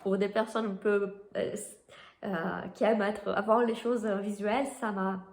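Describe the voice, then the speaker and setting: A woman's voice: medium pitch, fairly even intonation, measured pace, a little mellow. A woman from Brazil with a Brazilian Portuguese accent, a Reiki teacher whose first language is Portuguese, talking enthusiastically about a book and often restarting as she searches for words. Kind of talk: casual book talk to camera. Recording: roomy echoey room.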